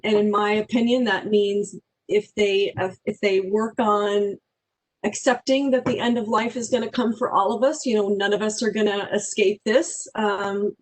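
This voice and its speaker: A woman's voice: medium pitch, rough recording. A woman from Wisconsin, an end-of-life doula, giving earnest personal introductory remarks over a video call. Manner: earnest, personal, some restarts.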